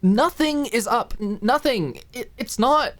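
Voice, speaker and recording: A woman's voice: fairly high pitch, expressive, measured pace, fairly bright tone, voice pushed up. A woman voicing a flustered, guarded young woman in an audiobook drama, hesitant and stammering as she trails off. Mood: startled, defensive. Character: flustered, guarded, lovesick young woman hiding her feelings.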